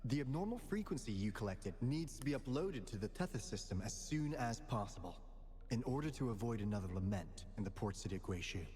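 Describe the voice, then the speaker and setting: An actor voicing a theatrical, playful and knowing guardian of a secret order in a video game, with dramatic pauses and flourishes. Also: male voice, mid-range voice, animated, dark tone, long reverb tail, slight background noise, lightly acted. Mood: grave, urgent.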